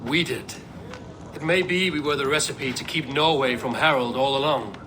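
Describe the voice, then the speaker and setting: An actor playing a steadfast Viking warrior, calm and solemn, in a dramatic game cutscene. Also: male voice, medium pitch, moderate pace, natural voice. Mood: resolute. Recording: orchestral music behind.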